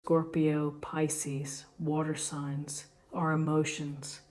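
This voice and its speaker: A woman giving an unscripted tarot reading, explaining the cards with gentle encouragement and deliberate pauses between phrases. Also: low voice, little pitch movement. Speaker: tarot reader, host.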